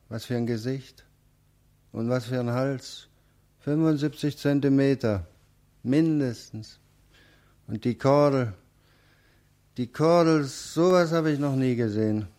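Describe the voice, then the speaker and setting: An actor playing a slow, marvelling bystander in a radio play monologue, speaking in deliberate short phrases. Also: male voice, mid-range voice, a little mellow, close to an everyday voice. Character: slow, marvelling male bystander gawking at a crowd.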